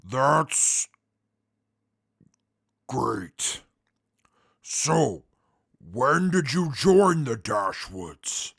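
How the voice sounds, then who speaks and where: A man playing a stern, guarded wasteland character in an audio drama, speaking formally and deadpan. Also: medium pitch, very slow, vocal fry, pauses often, breathy edge, exaggerated voice. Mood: dry.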